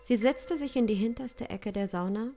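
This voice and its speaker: A young woman improvising story narration in a hushed, measured, suspenseful way, close on a studio microphone. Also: fairly high pitch, faint music behind. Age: young adult. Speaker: improv audio drama narrator. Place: small home studio, indoors.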